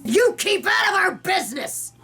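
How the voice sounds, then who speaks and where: A woman voicing a belligerent, wounded drunk father in a storybook reading, pleading and quick to bellow. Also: very high voice, very animated, pushed and bright, soft background music, pitched above the performer's own voice, full character voice. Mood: furious.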